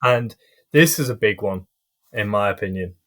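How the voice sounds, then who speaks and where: A young man hosting a casual podcast interview, friendly and hesitant with frequent pauses and restarts. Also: lowish voice, a bit bright. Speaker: podcast host.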